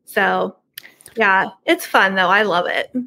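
A woman's voice: medium pitch, steady tone, slow, gravelly, bright, forceful tone. A middle-aged woman talking casually as an interview guest over a video call from a room at home. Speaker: podcast guest, horror book subscription business owner.

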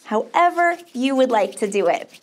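High-pitched, upbeat woman teaching kids. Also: animated, moderate pace. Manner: encouraging, upbeat, child-directed. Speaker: children's camp counselor host. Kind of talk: children's craft tutorial presenting. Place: small studio set, indoors.

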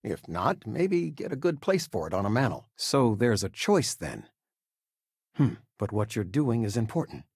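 Retired teacher dad for a game: older man, lively intonation, husky, fond and gently joking, dry close sound. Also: mid-range voice, normal speed, dry recording. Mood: warm, reassuring. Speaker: voice actor. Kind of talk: video game character dialogue.

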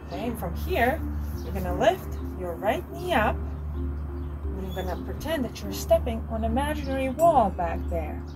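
A woman's voice: fairly high pitch, big pitch swings, moderate pace, rough recording. A young adult woman leading a movement lesson for children outdoors, giving simple, encouraging step-by-step instructions from a little way off the camera. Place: outdoors on a covered wooden deck. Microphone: camera a few steps away. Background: music.

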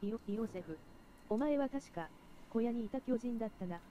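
Mellow, higher-voiced woman streamer. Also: animated, fairly quick, highs cut off, faint music behind. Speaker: game streamer. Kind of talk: reading game text aloud.

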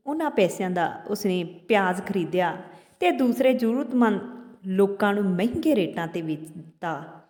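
A woman storyteller with a medium-pitched voice tells a moral folk tale. She delivers it deliberately in short phrases with pauses between them. The recording carries a long reverb, as if in a hall. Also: darkish tone.

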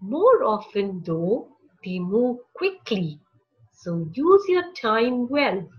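A woman narrating a picture book for young children, with deliberate, expressive phrasing and short pauses. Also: fairly high pitch, lo-fi sound. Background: music.

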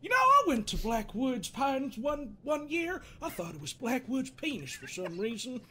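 A man playing a quirky, needling therapist in an improvised comedic game dub, speaking theatrically with halting pauses and self-amused chuckles. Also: very high voice, huge pitch swings, noisy background, heavily acted. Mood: needling, wry.

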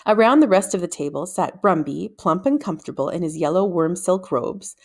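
A middle-aged woman narrates a children's novel. Her voice sits a little low for a woman. She reads deliberately, leaving small pauses. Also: animated. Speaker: read-aloud narrator. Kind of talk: children's novel read aloud. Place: small room at home, indoors. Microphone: desktop microphone in front of her.

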